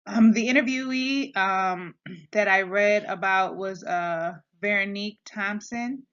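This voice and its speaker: A woman's voice: mid-range voice, unhurried, fairly bright tone. A woman giving a class presentation, hesitant, with many filler sounds and restarts. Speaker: student presenter. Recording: dull lo-fi recording.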